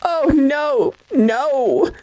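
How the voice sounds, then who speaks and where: A high, swooping voice from an actress as a tormented heroine of a radio horror play. She is bewildered and fearful, speaking slowly and haltingly with a tremble. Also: animated, dark tone, no echo, heavily acted, low-quality recording. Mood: frightened, dazed. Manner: breathy gasps, tremulous, halting melodrama. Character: frightened, bewildered, tormented sister under vampire's spell. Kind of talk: old-time radio drama acting.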